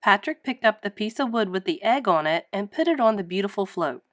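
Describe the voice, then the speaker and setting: A woman reading a children's story aloud in an animated narrating style. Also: mid-range voice, a little fast, no room sound, studio quality. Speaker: storybook reader.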